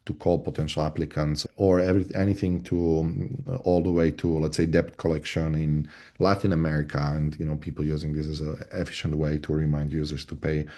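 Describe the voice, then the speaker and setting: A man from Slovakia, an engineering lead, talking in a casual discussion over a video call, halting with many restarts and fillers. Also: extremely low pitch, mellow. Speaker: voice agent platform lead.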